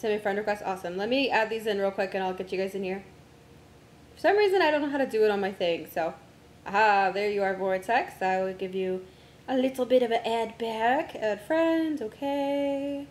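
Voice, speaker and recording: A young woman streamer chatting casually with friends while setting up a game, her voice going hoarse from a long day of talking. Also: medium pitch, fairly quick, roomy sound. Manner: distracted, talks to herself while navigating menus.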